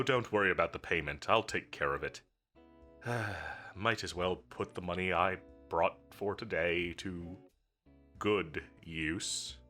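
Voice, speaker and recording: A man's voice: deep voice, pushed and bright, full character voice. A man playing a confident, teasing villain commander in a roleplay audio drama, drawling and flirtatious with a bossy edge. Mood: casual, teasing. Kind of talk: scripted roleplay audio drama addressed to the listener.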